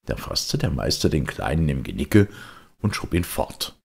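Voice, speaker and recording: Very deep, gravelly male storyteller. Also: big pitch swings, moderate pace. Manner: dramatic, addresses listeners directly. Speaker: audiobook narrator. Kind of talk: expressive children's story narration.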